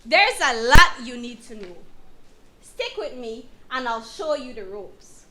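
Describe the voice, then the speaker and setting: Woman acting as firm legal-rights guide, lively swooping pitch, unhurried, emphatic, instructive. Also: heavily acted, poor audio. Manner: emphatic, deliberate explaining. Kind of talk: instructive scripted dramatization.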